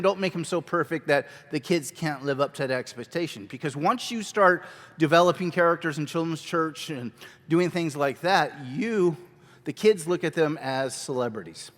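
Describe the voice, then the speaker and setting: A man teaching a children's ministry workshop at a conference, talking energetically and conversationally on a handheld mic. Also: fairly high pitch. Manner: energetic, conversational, quick asides. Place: large church hall. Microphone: handheld microphone. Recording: long hall reverb.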